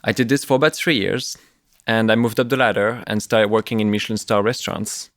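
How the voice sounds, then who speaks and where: A man from France with a southern French accent, pitching his startup to investors in an earnest, slightly halting way. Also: steady tone, no echo, clean studio sound. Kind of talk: business pitch and conversation.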